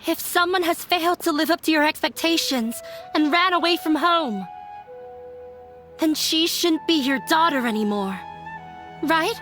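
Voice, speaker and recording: An actress playing an earnest young woman standing up to her scholar father, speaking in a measured, resolute way. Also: high voice, fairly bright tone, soft background music. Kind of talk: game cutscene voice acting.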